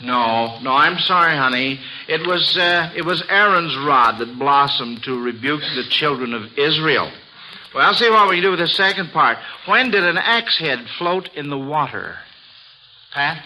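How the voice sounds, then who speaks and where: A man's voice: a little high, expressive, normal speed, sharp tone, a little hiss or hum. A man hosting a 1940s radio quiz for children, genial and hesitant with frequent uhs. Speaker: quiz show host. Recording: dull lo-fi old radio recording.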